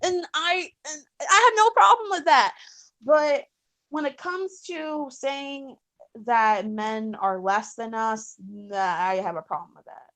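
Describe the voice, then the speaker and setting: A woman's voice: sits high, slow, a bit bright. A woman giving her opinions in a casual discussion, often repeating words and restarting her sentences.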